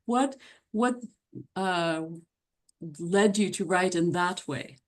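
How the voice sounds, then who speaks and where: A woman's voice: on the low side, slow pace. A woman interviewing a guest for a book club, asking polite, hesitant questions.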